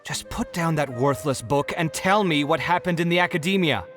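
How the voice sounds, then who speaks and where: A man's voice: a little high, moderate pace, music in the background, lightly acted. An actor playing a proud, easily riled young male architect in a video game, theatrical and exasperated. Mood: irritated, demanding.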